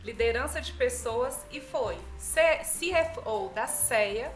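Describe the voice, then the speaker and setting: A woman's voice: on the high side, animated, takes it slowly, reverberant, soft background music. A woman co-hosting a podcast, reading the guest's introduction and asking short questions. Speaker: podcast co-host.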